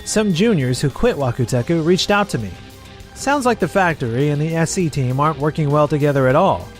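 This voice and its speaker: A man's voice: a little high, animated, brisk, soft background music, natural voice. A man voicing a capable, blunt elder brother in a manga drama dub, assured and admonishing. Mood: matter-of-fact. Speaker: voice actor and narrator in manga dub.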